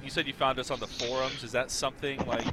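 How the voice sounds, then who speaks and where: A man's voice: mid-range voice, flat delivery, quick pace, noisy background. A man hosting a casual gaming group chat with joking, teasing, sweary banter. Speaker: host of a gaming guild group show.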